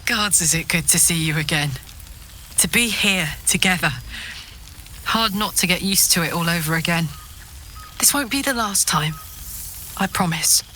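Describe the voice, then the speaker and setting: A bold female adventurer from a fantasy game. Her voice is bright and forceful, her manner earnest, affectionate and direct. Noise sits clearly behind her. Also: fairly low pitch, lively intonation, moderate pace, pauses often. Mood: tender, earnest. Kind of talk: video game voice acting.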